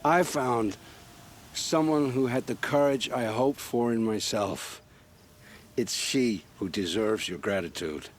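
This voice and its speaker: A man's voice: medium pitch, takes it slowly. A man acting an earnest, honour-bound, guilt-ridden family man in a video game, speaking in formal old-fashioned phrases. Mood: overwhelmed, relieved.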